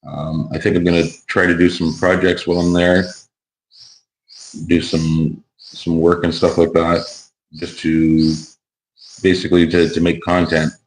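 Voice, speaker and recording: Hoarse, very deep-voiced man. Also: monotone, unhurried, long pauses, poor audio. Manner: easygoing, rambling with restarts. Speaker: YouTuber and car builder. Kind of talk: casual vlog chat. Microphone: wireless clip-on mic.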